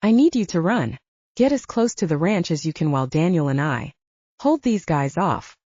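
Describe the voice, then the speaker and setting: A woman's voice: low-pitched, big pitch swings, normal speed, a little mellow, no echo. A woman voicing a protective, urgent, commanding young male werewolf in an audiobook. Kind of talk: audiobook character dialogue. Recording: dull, band-limited audio.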